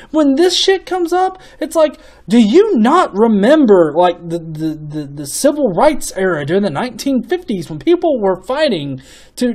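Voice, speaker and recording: A man's voice: high voice, darkish tone. A young man hosting a casual commentary on viewer comments, rambling and swearing with many stuttered restarts. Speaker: host.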